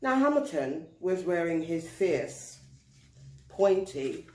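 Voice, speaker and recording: A woman reading a picture book aloud to children, expressive and deliberate, drawing out words for emphasis. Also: fairly low pitch. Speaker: storyteller. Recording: roomy, echoing indoor sound.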